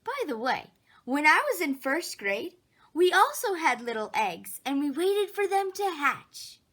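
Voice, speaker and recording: Lady doing a picture book read aloud, high voice, super expressive, takes her time. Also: measured pace, slight background noise. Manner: expressive, dramatic. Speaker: reader of a children's picture book. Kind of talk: animated storybook read-aloud.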